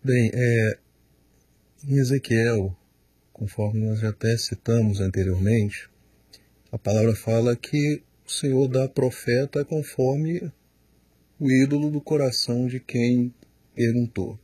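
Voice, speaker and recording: A man, a pastor, answering questions in a studio, slow and emphatic, often repeating words as he explains. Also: mid-range voice, dark tone, slightly rough, no echo. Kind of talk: studio Q&A teaching.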